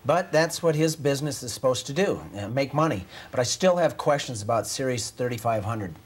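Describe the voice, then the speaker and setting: An earnest, doubtful auditor in an office drama. His husky voice has a medium pitch, and he speaks with tactful hesitation. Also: animated, a little fast. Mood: concerned. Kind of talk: acted corporate training-film drama. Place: indoor office.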